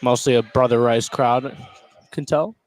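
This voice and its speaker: A man's voice: medium pitch, fairly even intonation, measured pace, slight background noise. An American man giving casual color commentary on a live basketball game. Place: indoor basketball gym during a game.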